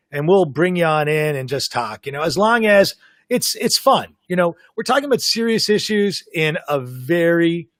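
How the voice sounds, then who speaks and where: A man hosting a live podcast, talkative and self-described as the loudest mouth of the group. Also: on the high side, no room sound. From Canada. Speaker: podcast host. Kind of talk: casual live podcast chat. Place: home in South Florida.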